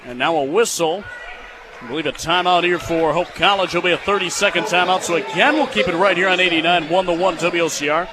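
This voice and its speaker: A young American man calling live basketball on radio as play-by-play broadcaster, in clipped phrases and excitable on big plays. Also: high voice, fairly quick. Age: young adult. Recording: clearly noisy live sports venue sound.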